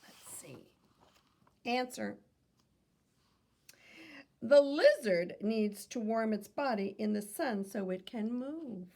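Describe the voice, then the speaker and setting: A woman reading aloud to children like a teacher, in an instructive manner, pausing to pose questions to young listeners. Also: a little high, lively intonation, a little mellow.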